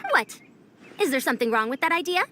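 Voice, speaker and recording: Madame Faruzan, high, bright, prim lady. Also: animated, fast, a little hiss or hum. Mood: gracious, brisk. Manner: prim, self-assured, old-fashioned. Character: Madame Faruzan, proud, brisk, girlish-voiced scholar lady with elder airs. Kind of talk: video game voice acting.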